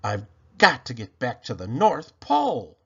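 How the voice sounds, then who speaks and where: A man's voice: mid-range voice, huge pitch swings, a little slow, breathy edge, highs cut off, exaggerated voice. A man voicing a tiny, tired, earnest Christmas elf in a storybook, anxiously wondering aloud to himself. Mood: homesick, earnest.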